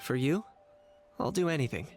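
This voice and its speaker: A man voicing an earnest, loyal young tribesman in an anime game, speaking calmly and sincerely. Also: on the high side, normal speed, soft background music. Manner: calm, sincere.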